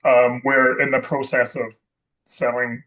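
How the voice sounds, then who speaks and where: A man from Scotland, a guest investor chatting in an interview, rambling and correcting himself with many pauses and restarts. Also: medium pitch, level tone, fairly bright tone, rough recording. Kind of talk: casual interview chat.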